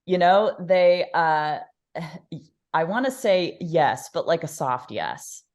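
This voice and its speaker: A woman's voice: fairly low pitch, expressive, slow, a little mellow. A woman guest on an interview podcast, speaking thoughtfully with many fillers, restarts and easy laughs. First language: Polish. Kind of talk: conversational interview.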